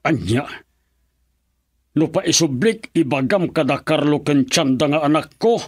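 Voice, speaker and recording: Menacing, demanding radio-drama man, fairly high voice, scolding, Ilocano accent. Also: measured pace, darkish tone, husky. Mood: angry, threatening. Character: demanding, hot-tempered, threatening man.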